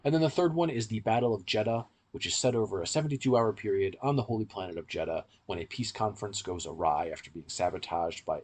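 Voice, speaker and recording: A man's voice: deep voice, a little mellow, husky. A man hosting a podcast, explaining things at length in a conversational discussion.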